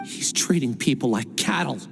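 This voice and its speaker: A man's voice: mid-range voice, animated, moderate pace, gravelly, long reverb tail, soft background music. A man voicing an agitated, protesting student in a video game, emphatic and incredulous. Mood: indignant.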